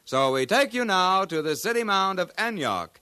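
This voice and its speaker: A man's voice: high voice, animated, normal speed, bright, forceful tone, clear, resonant tone, dry recording. A man acting a courteous broadcast anchor in a 1950s radio drama, with polished announcer delivery. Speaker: program anchor.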